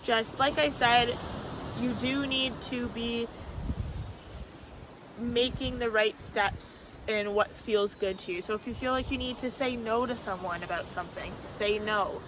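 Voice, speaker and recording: A woman with a loud voice rambling casually about spiritual ideas, full of fillers, talking to camera outdoors on a windy spot. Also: on the high side, steady tone, unhurried. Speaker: spiritual vlogger. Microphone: camera mic, no external microphone.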